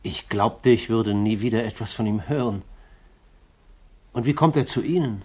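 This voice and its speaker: Deep, husky, courteous old gentleman. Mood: surprised, reserved. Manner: formal, measured courtesy. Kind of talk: 1950s German radio drama acting.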